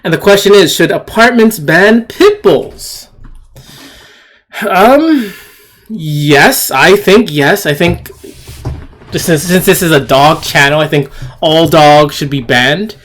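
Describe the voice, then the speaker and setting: A man hosting an opinion commentary, speaking haltingly and emphatically and often repeating himself. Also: fairly high pitch, a bit bright. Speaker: channel host. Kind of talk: opinion commentary over a news clip.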